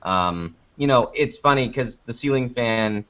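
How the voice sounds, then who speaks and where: A man's voice: mid-range voice, animated, unhurried, a bit bright. A man giving casual, rambling interview answers as a guest, informal and often interrupting himself mid-sentence. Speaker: interview guest, podcast creator. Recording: dull lo-fi online call audio.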